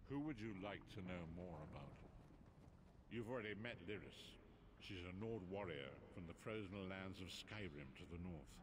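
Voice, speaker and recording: Deep-voiced, solemn old seer. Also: male voice. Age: older. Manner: solemn, theatrical storytelling. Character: old blind male seer, grave and theatrical prophet. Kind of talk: scripted fantasy game narration. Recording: noisy game capture, ambient sound behind.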